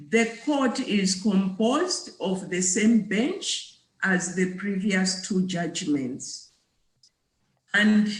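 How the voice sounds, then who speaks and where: A woman reading a court ruling aloud formally as a judge. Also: medium pitch. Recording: echoey room.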